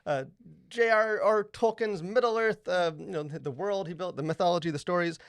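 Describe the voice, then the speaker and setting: A man's voice: sits high, moderate pace, no room sound. Male podcast host, enthusiastic, hesitant delivery, dry close sound. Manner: enthusiastic, lots of filler hesitations. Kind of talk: casual podcast chat.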